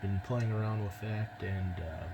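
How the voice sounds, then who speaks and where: A man with an American accent chatting casually as an amateur radio operator, in a measured, slightly hesitant way. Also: on the low side, fairly even intonation. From United States. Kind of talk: casual ham radio chat. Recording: very loud background noise on radio transmission.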